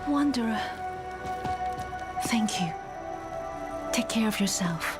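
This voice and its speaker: A woman playing an earnest, gentle traveler in a video game, speaking plainly over loud music and rain. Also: fairly high pitch, animated, slow. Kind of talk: video game cutscene acting.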